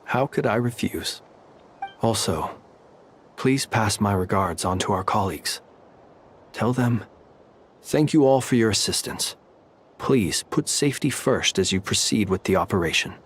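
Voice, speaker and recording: A wry, unflappable lighthouse keeper. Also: male voice, on the low side, animated, a little hiss or hum. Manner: wry, dry humor, unhurried. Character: calm, teasing male lighthouse keeper, unflappable. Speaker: game character.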